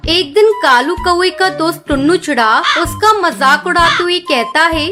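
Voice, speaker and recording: Very high-pitched woman storyteller. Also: normal speed. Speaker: narrator. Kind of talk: scripted children's story narration. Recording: loud music behind the voice.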